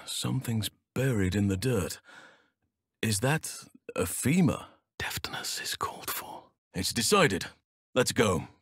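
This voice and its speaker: A man playing a wise, gentle druid in a video game, speaking in a measured, formal and earnest way. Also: medium pitch, slightly rough, no echo, clean studio sound, full character voice. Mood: hushed. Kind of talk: video game character acting.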